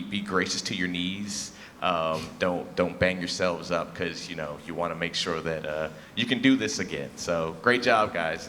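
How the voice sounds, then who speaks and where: A man with a low, forceful voice speaks as a theatre judge in a reverberant hall. His critique is conversational, emphatic and encouraging. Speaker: theatre festival judge, former actor. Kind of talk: informal spoken critique to young performers. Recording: reverberant hall, faint noise.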